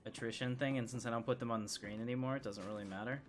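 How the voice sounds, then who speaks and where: A man's voice: medium pitch, fairly even intonation, racing, a little hiss or hum. A man giving casual, informal gameplay commentary, thinking aloud as he plays. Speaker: gaming streamer.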